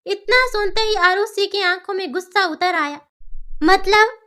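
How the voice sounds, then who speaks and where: Female narrator for dramatic story, very high-pitched, bright delivery. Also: normal speed.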